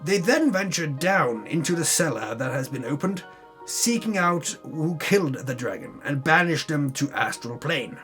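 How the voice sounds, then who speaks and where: Higher-voiced man reading dramatically over music. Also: expressive, moderate pace, a bit bright. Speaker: reader of a tabletop campaign recap. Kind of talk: dramatic reading of a story recap. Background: music under the voice.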